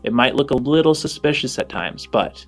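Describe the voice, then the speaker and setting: A man's voice: mid-range voice, animated, normal speed. A man improvising narration as game master of a tabletop role-playing game. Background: music.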